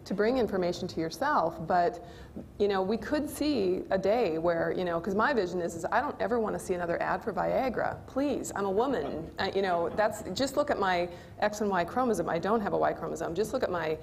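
A woman's voice: medium pitch, lively intonation, a little fast, creaky, a little mellow. A woman in her forties with an American accent, explaining informally with everyday examples in a conversational panel discussion. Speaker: panelist, company executive. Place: indoor panel stage in a function room. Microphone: lapel.

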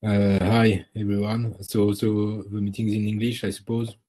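A middle-aged man speaks over a video call in a very deep voice with a husky edge. He introduces himself as moderator in a relaxed way, with many fillers. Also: moderate pace. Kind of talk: casual self-introduction.

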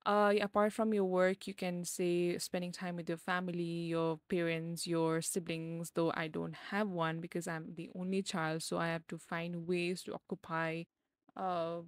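Young woman rambling on her podcast, lots of ums, lowish voice, dead dry sound. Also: flat delivery, moderate pace, no room sound. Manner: rambling, filler-heavy, gets brighter and louder as she goes. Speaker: podcast host. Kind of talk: casual solo podcast talk.

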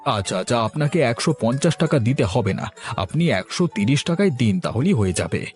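A man's voice: mid-range voice, sing-song, a little fast, a little mellow, faint music behind, close to an everyday voice. Man playing a coaxing village cloth peddler. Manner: coaxing, polite, sales patter. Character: friendly travelling village clothes seller, persuasive, folksy. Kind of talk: Bengali cartoon voice acting.

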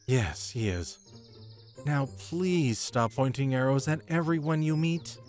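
An earnest, commanding hero, played by a man in a fantasy audio drama. His voice sits at middle pitch, serious and urgent, over light music. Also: expressive, unhurried, darkish tone, close to an everyday voice. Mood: urgent, firm.

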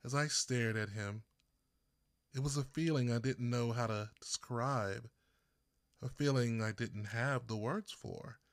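Slow, measured male storyteller, dry close sound. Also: medium pitch, no room sound. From Texas, United States. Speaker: author reading own audiobook. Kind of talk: scripted first-person narration.

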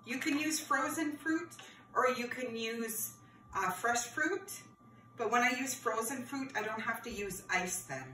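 A woman's voice: fairly high pitch, a little slow, pushed and bright. A woman in her thirties hosting a cooking class for children in a home kitchen. She explains each step as she works and calls her viewers friends. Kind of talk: cooking demonstration for kids. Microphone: camera across the counter, no visible mic. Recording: roomy, distant camera audio with background noise.

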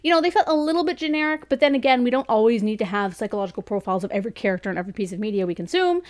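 A woman's voice: on the high side, expressive, rapid-fire. A woman in her thirties giving a chatty, casual book review from a room at home, laughing often between thoughts. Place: home room with bookshelves, indoors. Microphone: studio mic beside her on desk.

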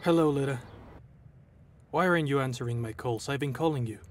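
A man voicing an estranged husband pleading softly, with a middle-pitched voice. Faint noise sits behind him. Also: fairly quick, lightly acted.

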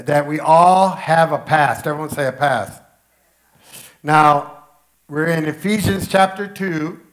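A man in his forties, a pastor, speaking earnestly to his congregation with a raspy, strained voice, often repeating phrases for emphasis. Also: on the high side. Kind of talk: church announcements and sermon. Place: church stage with pink-lit wall. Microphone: headset mic.

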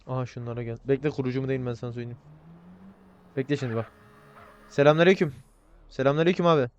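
Fast-talking, foul-mouthed man trolling in a game. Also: medium pitch, steady tone, a little mellow, dull audio, a little hiss or hum. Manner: crude, swearing heavily, provocative, switching to mock politeness. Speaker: video creator playing an online roleplay game. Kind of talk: casual gaming banter, trolling other players.

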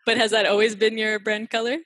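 A woman's voice: on the high side, little pitch movement, quick pace, a bit bright, no echo, poor audio. An American woman hosting an interview over a video call, asking questions with easy laughs. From United States. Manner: friendly, giggly, with fillers. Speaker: podcast host.

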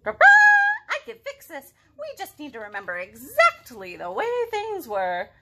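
A woman plays a self-assured picture-book elephant who takes charge. The voice leaps high and loud, stretching words emphatically. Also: huge pitch swings, measured pace, heavy background noise, heavily acted. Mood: confident. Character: big confident storybook elephant, take-charge fixer.

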